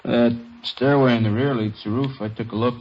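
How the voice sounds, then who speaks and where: An actor playing a plain, factual police officer giving a report in an old radio drama. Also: male voice, mid-range voice, fairly even intonation, fairly quick, natural voice. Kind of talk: 1950s radio drama acting. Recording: dull old radio recording.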